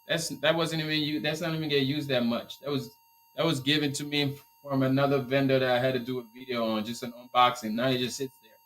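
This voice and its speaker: A man from Liberia with a Liberian accent, hosting a casual live stream Q&A on his t-shirt business and repeating his points in an energetic, motivational way. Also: mid-range voice, fast, rough recording.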